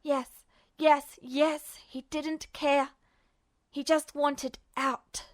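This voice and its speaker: Woman, high voice, expressive storyteller, scripted fiction narration, dramatic delivery. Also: extremely slow. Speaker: amateur audiobook narrator.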